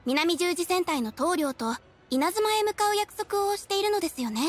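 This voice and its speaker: A woman voicing a polite, gentle young receptionist in an anime-style game, speaking formally and courteously. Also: very high voice, lively intonation, moderate pace. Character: polite, gentle young female guild receptionist in maid uniform. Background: background music.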